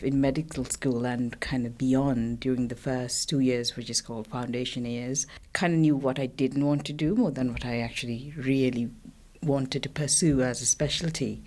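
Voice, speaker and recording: A woman in her thirties, originally from Sri Lanka, talks through her career as a guest on an interview podcast. Her voice sits very low, and she speaks casually, often pausing and restarting her sentences as she goes. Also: a little mellow. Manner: hesitant, many fillers and restarts. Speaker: cardiology researcher guest.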